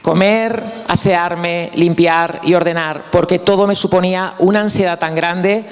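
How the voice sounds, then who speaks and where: Soft-spoken man in a reverberant hall. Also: high-pitched, steady tone, smooth. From Spain. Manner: slow, reflective, with pauses and restarts. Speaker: guest speaker. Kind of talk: personal talk at a conference. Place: conference hall.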